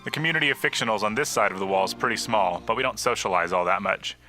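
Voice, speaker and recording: Man playing genial diner owner, very bright forward tone, genteel, old-fashioned politeness, faint music, audio drama. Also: lowish voice, animated, fast, low-quality recording. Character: genial, courtly fictional man running a diner. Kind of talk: scripted audio drama dialogue.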